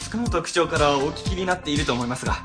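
A man's voice: a little high, fast, over music, natural voice. A man playing a middle-aged civil servant boss in a comic radio drama, chatty, easily flustered and ingratiating. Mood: nervous, fawning.

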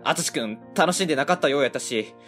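A woman with a Kansai accent voicing a kindly, soft-hearted middle-aged man who runs a small factory, in an amateur radio drama. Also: deep voice, expressive, fast, faint music behind. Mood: hesitant. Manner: gentle, humble, easily moved.

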